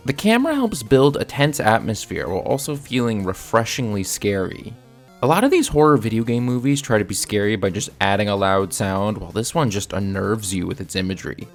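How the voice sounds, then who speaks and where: A man with a fairly low voice narrates a scripted film review. His delivery is casual and opinionated, with a gravelly creak, over faint background music. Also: lively intonation, brisk. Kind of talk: scripted video essay narration.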